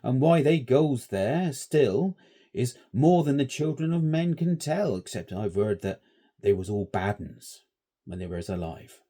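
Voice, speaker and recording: A man voicing a grave, superstitious old shepherd who talks slowly in rustic dialect. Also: mid-range voice, expressive, warm tone, pitched above the performer's own voice.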